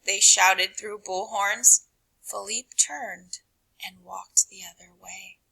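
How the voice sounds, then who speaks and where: Slow, slightly husky woman reading a storybook aloud. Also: mid-range voice, fairly even intonation, poor audio. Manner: dramatic, deliberate pauses with hushed phrase endings. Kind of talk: expressive children's read-aloud narration.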